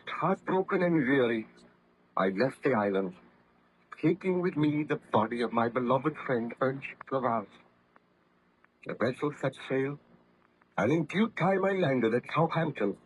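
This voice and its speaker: A man's voice: medium pitch, husky. An actor playing an anguished, guilt-ridden nobleman scientist in an old-time radio horror drama, speaking in formal, fervent melodrama. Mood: heavy, narrating. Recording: vintage radio drama recording, slightly muffled.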